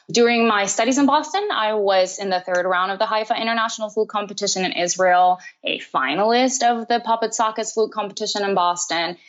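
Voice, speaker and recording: A woman's voice: mid-range voice, a bit bright. Woman from texas chatting on a podcast, laughs a lot, kinda dull lo-fi sound. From Texas, USA. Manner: friendly, laughs often, recounts career in detail. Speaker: guest, flutist and festival director. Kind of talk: podcast interview.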